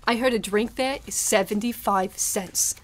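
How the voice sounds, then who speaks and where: A woman playing a breezy, persuasive studio staffer in a live old-time radio drama, brisk and chatty. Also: medium pitch, close to an everyday voice. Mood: coaxing.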